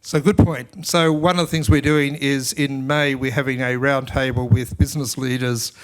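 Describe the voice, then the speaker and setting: Middle-pitched man running a panel Q&A, casual and a little stumbling. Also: flat delivery, moderate pace, a little hiss or hum. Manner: conversational, stumbling restarts. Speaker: commissioner, panel moderator. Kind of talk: panel moderation. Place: public forum hall.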